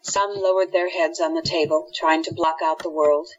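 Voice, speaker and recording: Female radio drama narrator, somewhat low and husky, reading scripted scenes. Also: fairly even intonation, moderate pace. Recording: dull lo-fi audio.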